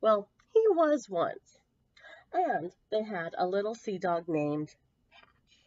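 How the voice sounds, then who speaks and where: A woman storyteller reading a picture book to young children, with expressive emphasis and drawn-out words. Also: medium pitch. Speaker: children's storyteller at a public library. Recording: dull lo-fi, close dry sound.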